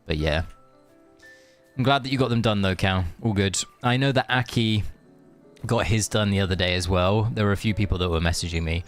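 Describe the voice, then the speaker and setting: Chatty low-voiced man streaming a game. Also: fairly quick, faint music behind. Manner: rambling, chatty, reads chat aloud. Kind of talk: casual live-stream chat.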